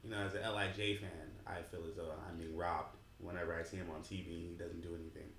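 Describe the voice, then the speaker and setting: Low-voiced laid-back man, echoey room. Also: little pitch movement, fast, a bit bright, a little hiss or hum. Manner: teasing, dry, laid-back. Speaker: podcast co-host. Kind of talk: casual podcast chat.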